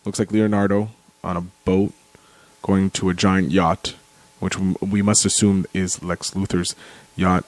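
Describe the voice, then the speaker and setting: A man giving off-the-cuff film commentary, describing what he watches step by step in a halting way. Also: low-pitched, low-quality recording. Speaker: commentary contributor.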